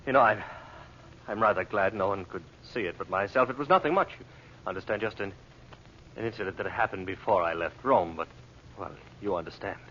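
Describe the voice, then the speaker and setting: An actor playing a shifty, easily rattled impostor agent in an old-time radio serial, blustering and stammering through broken-off protests. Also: male voice, lowish voice, a little fast, a bit bright, natural voice. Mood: evasive. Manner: stammering, flustered, protesting, breaking off mid-phrase. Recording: muffled, noisy old radio recording.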